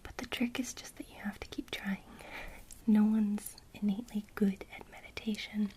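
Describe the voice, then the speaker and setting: A woman whispering a gentle witchcraft lesson in ASMR style, speaking directly to the listener. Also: medium pitch, fairly even intonation, normal speed. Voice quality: soft unvoiced whisper throughout. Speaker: ASMR creator teaching witchcraft.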